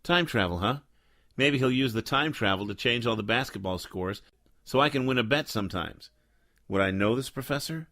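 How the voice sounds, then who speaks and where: An actor playing a sardonic, deadpan noir detective who both narrates and talks in a radio drama. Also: male voice, mid-range voice, normal speed. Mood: deadpan mockery. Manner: sardonic, deadpan, world-weary. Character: cynical wisecracking hardboiled male noir detective, Joe Smoke.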